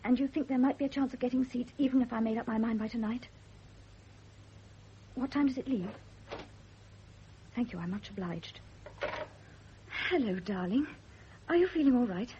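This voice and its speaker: An actress playing an anxious, devoted young wife in a vintage radio drama. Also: female voice, fairly high pitch, normal speed, pauses often, natural voice. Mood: tender, troubled. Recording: dull, hissy vintage radio recording.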